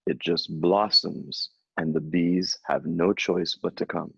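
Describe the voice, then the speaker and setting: A man in his thirties, a spiritual coach, giving a slow, soothing reading and then chatting casually with his client. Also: sits low, steady tone, vocal fry, slightly rough, no echo. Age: 30s. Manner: slow, soothing, affirming delivery. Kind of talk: guided channeled reading, then casual chat. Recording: dull lo-fi sound.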